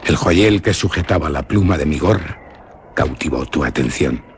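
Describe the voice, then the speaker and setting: A young Spanish nobleman from a radio play, brooding and earnest, with a very deep voice that rises and falls. He talks in a hushed, solemn way. A steady hiss sits under the old radio recording. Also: normal speed. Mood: tender. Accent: Spain Spanish. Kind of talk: 1950s-style radio drama acting.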